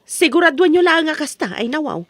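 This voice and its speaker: An actress playing a stern storekeeper who insistently demands payment in an Ilocano comedy radio drama. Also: female voice, sits high, animated, fairly quick, no room sound. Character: stern female storekeeper chasing unpaid debts.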